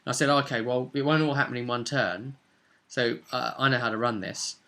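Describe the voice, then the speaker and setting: Bright-voiced man casually recapping a game. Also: mid-range voice, steady tone. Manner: rambling, halting delivery. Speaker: game master recapping a tabletop role-play session. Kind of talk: casual unscripted storytelling recap.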